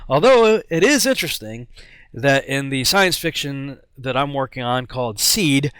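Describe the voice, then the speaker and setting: A man's voice: fairly high pitch, fairly bright tone, clear, resonant tone, no echo. A man with an American accent giving informal commentary as host, sometimes reading aloud.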